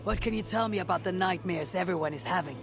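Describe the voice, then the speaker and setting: A composed, dry warrior, loud music. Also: female voice, on the low side, fast, creaky. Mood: matter-of-fact. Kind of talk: video game character acting.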